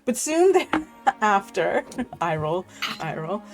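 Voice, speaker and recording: A woman hosting an online tabletop game as its game master, with a voice of middling pitch. She talks casually, with plenty of ums and easy laughter. Faint music plays underneath. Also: sing-song, slow. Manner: laughs often, many fillers and ums.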